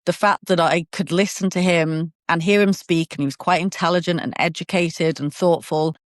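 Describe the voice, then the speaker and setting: A woman in her thirties from England with a southern English accent, talking in an animated, open way as an interview guest, often laughing and restarting. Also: fairly low pitch, normal speed, dry recording, studio quality. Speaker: podcast guest. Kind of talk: conversational interview.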